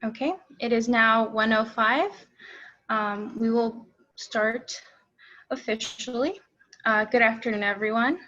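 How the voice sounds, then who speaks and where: A woman's voice: fairly high pitch, a bit bright. A young woman from El Salvador, hosting a webinar over a video call with polite, formal announcements. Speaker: webinar host. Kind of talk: scripted webinar introduction. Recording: slightly dull video call audio.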